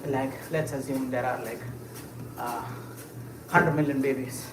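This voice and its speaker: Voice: mid-range voice, steady tone, a little slow. A livestreamer chatting casually with viewers while walking, musing aloud with lots of fillers and short laughs. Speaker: livestreamer answering chat. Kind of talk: casual live chat. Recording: echoey space with clear ambient noise.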